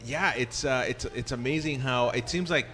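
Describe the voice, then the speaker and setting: A man's voice: medium pitch, moderate pace, sharp tone. A young man from Southern California hosting a podcast, easygoing and rambling, recording in a cafe. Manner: easygoing, laughs often, rambling. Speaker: podcast host. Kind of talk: casual interview chat. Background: faint cafe music.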